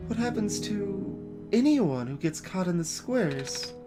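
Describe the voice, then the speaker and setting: A woman's voice: mid-range voice, sing-song, takes it slowly, over music. A woman voicing a curious young video game protagonist with a tentative, puzzled way of talking. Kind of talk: voiced reading of video game dialogue.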